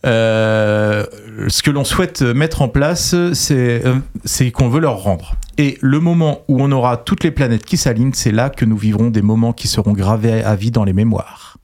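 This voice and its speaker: A man's voice: medium pitch, normal speed, few pauses, darkish tone. Teasing man hosting a casual quiz. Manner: teasing, joking. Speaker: host of a quiz segment on a football talk show. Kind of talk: casual banter, quizzing with read-out quotes.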